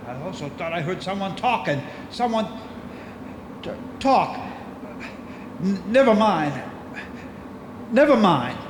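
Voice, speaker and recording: An older lighthouse keeper, stubborn and easily rattled. His high-set voice is slow and halting, turning insistent. It is radio drama from a cassette, with long, hollow reverb. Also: big pitch swings, background noise, heavily acted. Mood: insistent, unsettled.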